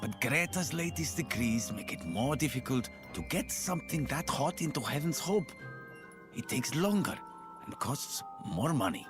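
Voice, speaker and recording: Casting: man as shifty smuggler, sly and evasive, hugely animated intonation, game cutscene with clear music bed. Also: mid-range voice, normal speed, lightly acted. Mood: conspiratorial. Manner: sly, conspiratorial. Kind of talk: video game character dialogue.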